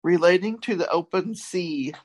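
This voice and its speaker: A woman's voice: fairly low pitch, moderate pace, a little mellow, slightly rough, poor audio. A woman hosting a casual word game, reading out obscure words and spelling them letter by letter. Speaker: quiz host giving obscure words.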